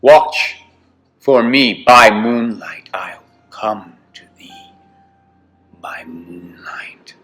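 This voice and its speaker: A man reading poetry dramatically, swinging between hushed whispers and forceful lines. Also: lowish voice, drawn-out words. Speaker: poem reader.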